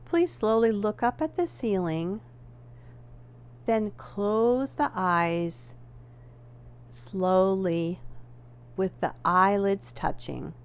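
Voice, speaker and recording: A woman leading a guided relaxation as a yoga nidra instructor, giving gentle, measured instructions with deliberate pauses. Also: medium pitch, clean tone.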